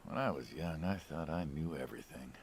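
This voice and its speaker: A man's voice: a bass voice, brisk, a little hiss or hum. A man playing a friendly, inquisitive, wryly skeptical middle-aged American mobster in naturalistic video game dialogue. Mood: reflective, easygoing.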